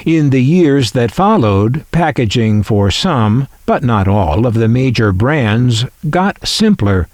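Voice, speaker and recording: A man narrates at a slow, deliberate pace, with a slightly low voice that rises and falls freely. He explains things like a collector showing off a piece, musing wryly as he goes. The sound is dry and close. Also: very animated, a little mellow, no room sound. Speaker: collector presenting a vintage radio.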